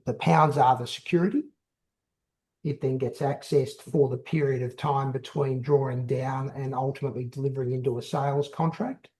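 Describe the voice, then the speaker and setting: A man speaks as a company executive on an investor call. His voice is mellow and low in edge, at a middling pitch. He explains things in measured, halting phrases, often repeating a word before moving on. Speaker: company executive answering analyst questions. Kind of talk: investor call question and answer.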